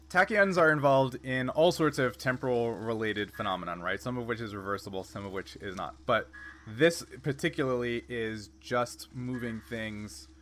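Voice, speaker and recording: A man's voice: mid-range voice, a bit bright, a little hiss or hum. A man acting as game master, explaining and narrating in an informal, casual way.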